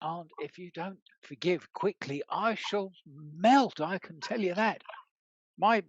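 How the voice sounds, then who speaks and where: A man's voice: high voice, takes it slowly, no echo, muffled recording. A man playing a good-natured, bumbling country knight in a period comedy, stammering and blustering along. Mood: sentimental. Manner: hesitant stammering, rustic bluster. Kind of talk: scripted stage comedy acting.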